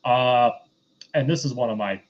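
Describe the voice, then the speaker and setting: A man's voice: mid-range voice, moderate pace. Male podcast host, mid-range voice, loose rambling film chat, a bit lo-fi. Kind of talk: casual review chat.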